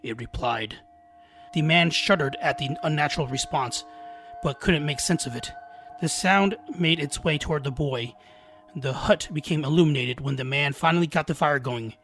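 A man's voice: on the high side, normal speed, a bit bright. A man narrating a scripted scary folktale as a storyteller, with dramatic, suspenseful delivery. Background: background music.

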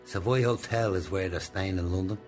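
An older Irish actor in a radio play, playing a controlling old boss with a deliberate, coaxing manner and benign menace. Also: male voice, low voice, a little mellow, faint music behind, natural voice. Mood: brisk, commanding. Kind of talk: radio drama acting.

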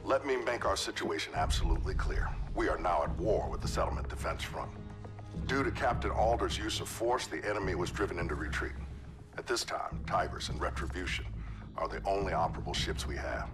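An actor playing a grave, authoritative fleet admiral, measured and formal, in a military video game. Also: male voice, deep voice, expressive, over music. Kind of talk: cinematic military game acting.

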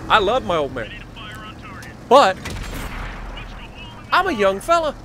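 A man's voice: high-pitched, wildly swooping pitch, slow, pushed and bright, a little hiss or hum. A young American man casually telling a story over tank game play in short, emphatic phrases, as host of a gaming video.

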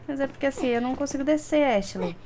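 High-voiced young Brazilian woman gaming. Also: animated, fast, background noise. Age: young adult. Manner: playful, chatty, talks to game characters. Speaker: gaming streamer. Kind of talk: casual let's play commentary. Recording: game sound clearly behind voice.

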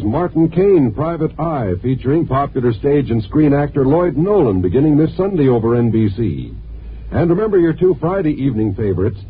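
A man working as a network announcer, reading promos in 1950s radio style on a tinny, faintly noisy old recording. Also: fairly high pitch.

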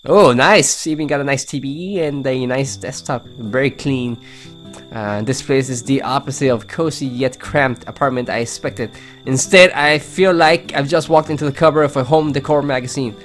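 A man's voice: mid-range voice, normal speed, a bit bright. A man hosting a let's play, reading game text aloud with casual commentary. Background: game music.

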